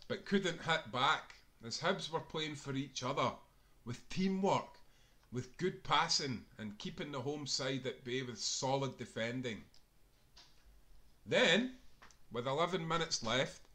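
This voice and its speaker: A man's voice: mid-range voice, sharp tone. A man reading scripted narration from a football book, with an emphatic, dramatic storytelling delivery. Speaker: author-reader of a football book.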